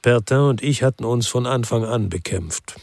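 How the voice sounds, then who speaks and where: An actor playing a weary, bitter former policeman who narrates a noir crime radio drama in a measured, laconic way. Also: mid-range voice, darkish tone, no echo, natural voice. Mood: grim, reflective.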